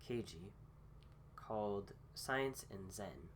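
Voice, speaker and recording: Slow, halting man getting over a cold. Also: fairly low pitch, expressive, a little hiss or hum. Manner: slow, hesitant, many fillers and restarts. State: recovering from a cold. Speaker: host. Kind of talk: casual philosophy talk.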